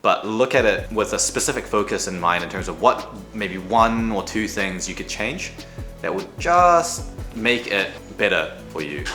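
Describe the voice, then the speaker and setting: Man, mixed Kiwi-Aussie-American accent, lowish voice, reflective and jokey, roomy studio with music under it. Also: expressive, measured pace, sharp tone. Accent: mixed New Zealand, Australian and American. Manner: reflective, joking, many fillers. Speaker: education creator. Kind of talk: casual behind-the-scenes chat.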